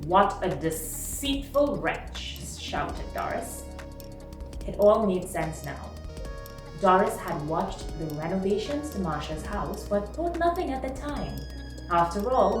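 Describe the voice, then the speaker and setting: A woman narrates a short story with expressive, dramatic delivery. Her voice sits at an average pitch and sounds roomy and a little away from the microphone. Music plays clearly behind her. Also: recorded from a distance. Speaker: storyteller reading short stories aloud.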